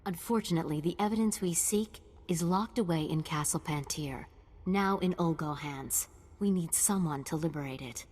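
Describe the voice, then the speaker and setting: Formal noble envoy, game cutscene, lowish breathy voice, gracious and polite. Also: female voice, normal speed, a little mellow. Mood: courteous, earnest. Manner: formal, courteous, diplomatic. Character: gracious, dignified female ambassador of a noble house. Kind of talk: video game cutscene acting. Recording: long hall reverb.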